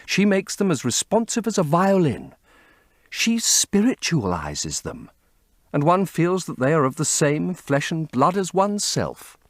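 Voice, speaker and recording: Man acting a rapturous young gentleman, swooping high. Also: big pitch swings, measured pace, no room sound, clean studio sound. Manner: ardent, gushing, emphatic. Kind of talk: audiobook character acting.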